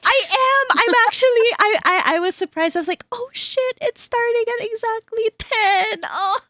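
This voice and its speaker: A woman streamer hosting a casual group chat with friends, upbeat and quick to laugh. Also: extremely high pitch, pushed and bright, dry recording, studio quality. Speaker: streamer host.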